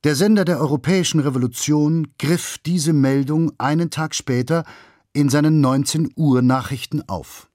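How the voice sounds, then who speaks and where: A man reading scripted documentary narration in a measured, factual manner. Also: on the high side, darkish tone, no echo, clean studio sound.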